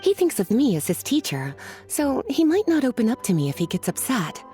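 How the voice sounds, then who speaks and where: A woman's voice: on the high side, sing-song, fairly quick, warm tone, faint music behind. An actress playing a languid, flirtatious female mentor who calls others cuties and sweetie. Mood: teasing. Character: sultry, teasing female mentor and librarian.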